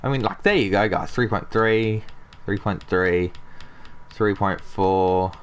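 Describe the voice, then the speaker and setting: A man's voice: fairly low pitch, slow pace, a little hiss or hum. A young man with a slightly sick, nasal voice, chatting casually over gameplay as a channel host and talking to viewers. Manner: chatty, talks to viewers and chat.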